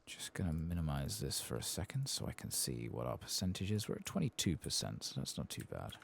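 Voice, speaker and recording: Male ASMR gamer, very low creaky voice, soft muttered play-by-play. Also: wildly swooping pitch, brisk, a little hiss or hum. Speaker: ASMR gaming streamer. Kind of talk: soft-spoken ASMR game playthrough commentary.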